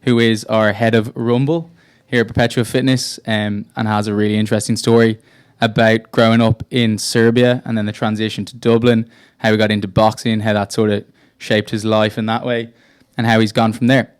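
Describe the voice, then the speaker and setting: Low, smooth-voiced man hosting a podcast. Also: level tone, moderate pace. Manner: friendly, frequent short acknowledgements. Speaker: podcast host. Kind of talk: casual interview.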